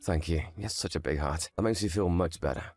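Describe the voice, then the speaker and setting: A man's voice: sits low, a little fast, a little mellow, no room sound. A man playing an earnest, frank young husband in scripted story dialogue, speaking expressively. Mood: anxious, grateful.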